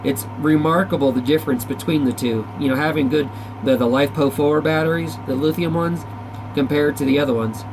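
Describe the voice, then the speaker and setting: Male CB hobbyist, slangy and rambling, slightly high pitch, casual chat, noticeably noisy recording. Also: fairly even intonation, brisk. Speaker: CB radio operator. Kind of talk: casual chat over CB radio. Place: back-room radio shack.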